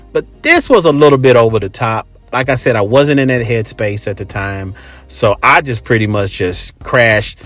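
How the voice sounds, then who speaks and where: A man's voice: on the low side, fairly bright tone. Blunt middle-aged man over music. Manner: blunt, emphatic, conversational. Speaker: host. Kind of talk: casual storytelling monologue.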